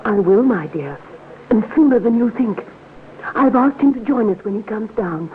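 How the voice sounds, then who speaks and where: A woman's voice: fairly high pitch, animated, moderate pace, lightly acted. An actress playing a genteel, effusive society lady in a classic radio drama, very polite and refined in manner. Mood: gushing, sociable. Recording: tinny, noisy old radio recording.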